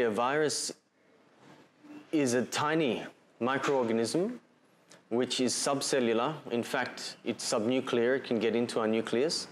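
A man in his thirties with an Australian accent, from Bali, giving a calm, measured livestreamed health talk to camera, seated indoors on a lapel mic. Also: medium pitch, slight background noise. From Bali, Indonesia, and Australia. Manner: measured, calm explanatory delivery with pauses and fillers. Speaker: holistic health practitioner presenting a talk. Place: indoor room with shelf and framed photos. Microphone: lapel mic, seated facing camera.

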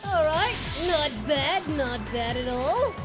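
A woman voicing an excitable cartoon martial-arts hero, giving brash, crude reaction commentary. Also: high voice, slow pace, over music. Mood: gleeful, brash. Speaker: reaction channel host.

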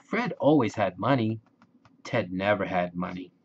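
A man reading a children's storybook aloud in a deliberate, expressive way for young listeners. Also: fairly low pitch, lo-fi sound, slight background noise. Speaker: children's book reader.

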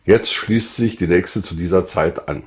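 A man's voice: deep voice, a little slow, a little mellow. An older man, an author, giving a scripted presentation of his own work and reading from it.

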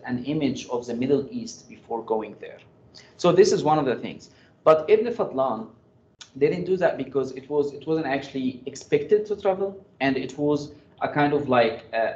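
A man's voice: mid-range voice, darkish tone. A man presenting his graduate research and answering audience questions, hesitant, with many fillers and restarts. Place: lecture room. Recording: echoey, dull room sound.

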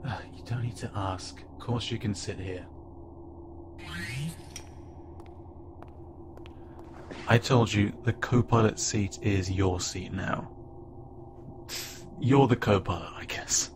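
A man playing an awkward, gentle insect-like alien pilot who speaks haltingly and unsure of himself, in an intimate audio roleplay. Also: lowish voice, fairly even intonation, natural voice. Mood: gruff, reserved. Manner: hesitant, halting, stammering and self-doubting. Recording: clearly noisy, with ambient sound bed.

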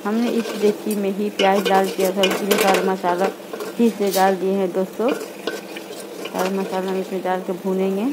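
A woman hosting a home cooking video, calmly explaining each step to her viewers in a home kitchen. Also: medium pitch, normal speed. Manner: calm, instructive, addresses viewers as friends. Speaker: cooking channel host. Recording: loud kitchen noise. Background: frying sizzle and stirring pots.

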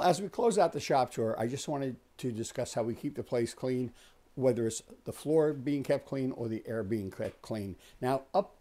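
A man in his sixties giving a casual, unhurried explanatory tour as the host, talking conversationally in a small indoor workshop. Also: medium pitch, dark tone. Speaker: host of a woodworking shop tour.

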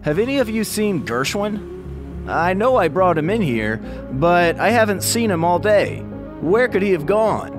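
A friendly young gator from a children's storybook, played by a man speaks in a high voice. He is earnest and casual, a little concerned for his friends, over clear music. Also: sing-song, a little slow. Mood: worried.

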